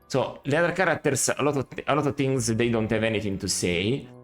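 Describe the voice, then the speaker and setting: A man's voice: mid-range voice, expressive, a little fast, soft background music. A man streaming a retro game, chatting casually and musing aloud, often correcting himself and adding critical asides.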